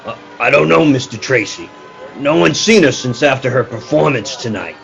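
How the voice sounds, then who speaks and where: A man's voice: mid-range voice, expressive, normal speed, vocal fry, a bit bright, dull audio, faint music behind. A man playing a businesslike, world-weary circus showman in a radio play, matter-of-fact and deferential.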